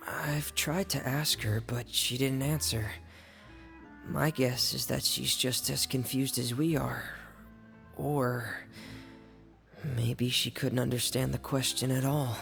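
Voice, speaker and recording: A man voicing a shy, careful young man who speaks slowly and hesitantly in a game scene. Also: mid-range voice, fairly even intonation, fairly bright tone, faint music behind. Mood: pensive. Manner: slow, gravelly, hesitant.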